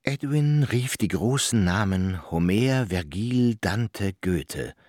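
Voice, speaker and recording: A man's voice: fairly low pitch, expressive, takes it slowly, a little mellow, no echo, studio quality. A man narrating a literary radio drama, giving weight to each phrase in a scripted reading.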